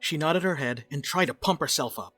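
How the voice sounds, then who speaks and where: A man's voice: on the high side, a little fast, a bit bright, soft background music. A man narrating a dramatic reading as a scripted storyteller.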